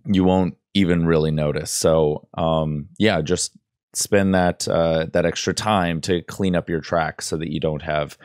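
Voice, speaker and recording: A young man with a very low voice walks through his setup in a casual, meandering way, stumbling over fillers and restarting sentences. He sits close to a studio microphone in a small room, and the sound is dry, with no room echo. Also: steady tone, unhurried, a little mellow. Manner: rambling, many fillers and restarts. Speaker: audio tutorial host. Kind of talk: casual screen-recorded tutorial. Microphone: studio microphone close up, headphones on.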